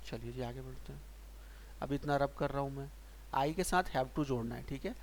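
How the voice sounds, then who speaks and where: A man teaching English grammar in Hindi, patiently asking and answering his own questions and slowing down to spell out example sentences. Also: mid-range voice. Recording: faint hiss.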